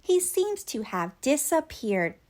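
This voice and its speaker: A woman's voice: sits high, big pitch swings, slow, darkish tone, full character voice. A woman voicing a cheerful, reassuring male cartoon mouse from a children's storybook. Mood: concerned.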